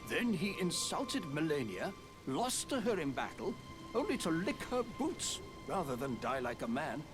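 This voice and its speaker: Self-important lord, high-pitched, lively swooping delivery, haughty, theatrical, fantasy game, music behind. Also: male voice, normal speed, poor audio. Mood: scornful. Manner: haughty, theatrical, grandiose declamation. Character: pompous, self-important nobleman seeking aid. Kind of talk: scripted fantasy game acting. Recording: background music under the voice.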